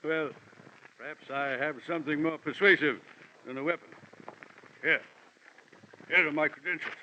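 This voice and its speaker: An actor playing a florid, jovial and pompous gentleman investigator from London with a villain's air, in 1940s radio style. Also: on the high side, fairly even intonation, moderate pace, natural voice. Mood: smooth, grandiose. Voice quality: voice like a portly classic-film villain. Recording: muffled, hissy old radio recording.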